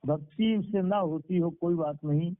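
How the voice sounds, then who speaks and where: Higher-voiced male preacher on a phone line. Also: normal speed. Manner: repeats phrases for emphasis. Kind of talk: devotional sermon with moral storytelling. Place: speaking over a conference call. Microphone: telephone. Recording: tinny phone conference-call line.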